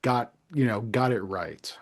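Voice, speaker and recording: A man hosting a casual interview, putting his questions in a loose, halting, conversational way. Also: medium pitch.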